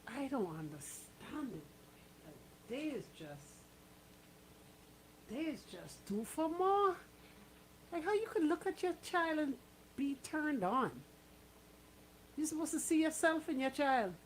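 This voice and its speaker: High-voiced woman, noisy humming audio. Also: big pitch swings, slow pace, pauses often. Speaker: radio co-host. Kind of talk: casual radio chat.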